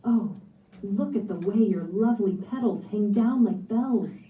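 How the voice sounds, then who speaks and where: Woman playing a timid young storybook girl, apologetic and pleading, mellow tone lifted higher, distant from the mic. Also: a little slow, close to an everyday voice. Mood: admiring, pleading. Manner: pleading, earnest. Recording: echoey room, distant voice.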